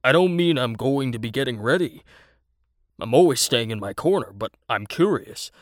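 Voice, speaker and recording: A man's voice: medium pitch, animated, normal speed, dry recording, studio quality, close to an everyday voice. A man voicing a reserved, curious toy animal in a children's audiobook. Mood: timid, curious. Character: reserved, curious male toy lion and sensible toy owl. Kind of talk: children's audiobook character acting.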